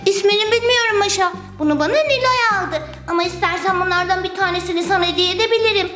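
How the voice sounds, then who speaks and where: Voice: very high-pitched, quick pace, pushed and bright. A performer voicing an eager, curious childlike toy character in a children's play skit, exclamatory and playful. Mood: friendly. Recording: roomy echo with music behind.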